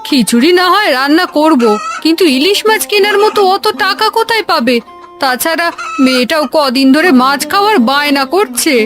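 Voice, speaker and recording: A woman's voice: sits high, expressive, fairly quick, music in the background. A woman voicing an earnest, worried village woman in a cartoon story, with a homely, pleading manner.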